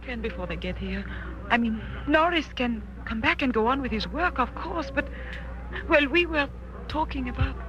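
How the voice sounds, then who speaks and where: A woman's voice: high voice, lively intonation, moderate pace, a bit bright, highs cut off. An actress playing an anxious, devoted royal lady in a 1940s radio drama, earnest and dramatic. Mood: hesitant.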